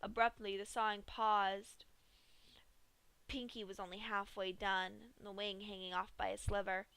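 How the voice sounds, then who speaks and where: A woman reading a dark fanfic aloud in a steady storytelling manner. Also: medium pitch, a little slow, smooth, dry recording. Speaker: fanfiction reader.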